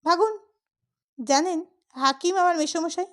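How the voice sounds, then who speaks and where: A woman's voice: very high-pitched, a little slow, a bit bright. A woman storyteller voicing a cranky, bossy elder brother who scolds and blusters, in an audio short story. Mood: gruff, indignant.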